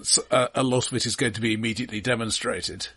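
A man co-hosting a podcast, discussing film casually with a dry, wry, halting way of talking. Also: mid-range voice, fairly even intonation, fairly bright tone, husky, dry recording. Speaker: podcast co-host.

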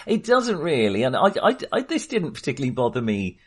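A man's voice: mid-range voice, wildly swooping pitch, fairly quick, breathy edge. A man in a casual film discussion, thinking aloud hesitantly and often correcting himself. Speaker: film podcast host.

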